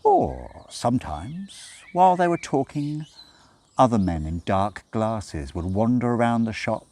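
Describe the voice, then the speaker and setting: A man's voice: medium pitch, sing-song, a little slow, a little mellow. A man reading a story aloud as scripted narration, with wry, dramatic emphasis. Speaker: audiobook reader.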